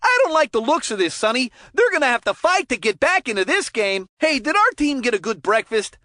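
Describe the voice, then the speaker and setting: A woman voicing an excitable, folksy, boastful kid who commentates hockey in a cartoon video game. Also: fairly high pitch, brisk, no echo, studio quality. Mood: worried, urgent. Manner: folksy, gushing, exclamatory, windbag chattiness. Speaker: sports commentator.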